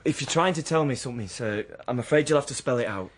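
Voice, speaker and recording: A man's voice: medium pitch, quick pace, no room sound, close to an everyday voice. An actor playing a moody young working-class drifter who narrates and lives out his story in a radio drama. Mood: agitated.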